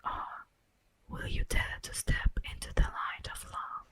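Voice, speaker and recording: A woman voicing an ancient, tender and wistful elf in a breathy whispered ASMR fantasy roleplay, speaking solemnly and poetically with long pauses. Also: a bit bright, natural voice. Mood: earnest, pleading. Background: music.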